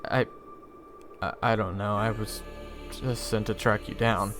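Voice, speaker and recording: A man playing a young army private in a fantasy audio roleplay, casual, halting and dryly sarcastic. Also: on the low side, fairly even intonation, a bit bright, faint music behind. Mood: hesitant. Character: young army private hero, unimpressed, cheeky and deadpan. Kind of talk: fantasy audio roleplay acting with first-person narration.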